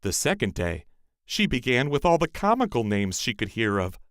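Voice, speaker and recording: Lively, expressive male storyteller. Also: mid-range voice, normal speed, dry recording, clean studio sound. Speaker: storyteller, narrator. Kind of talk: scripted fairy-tale narration.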